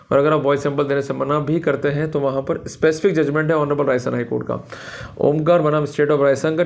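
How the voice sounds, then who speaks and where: An Indian man giving an explanatory legal lecture in a didactic manner, slowing down deliberately for quoted passages. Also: on the high side, creaky. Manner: didactic, repeats filler phrases, slows down for English quotations. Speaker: law lecturer. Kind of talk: explanatory legal lecture to camera, Hindi mixed with English.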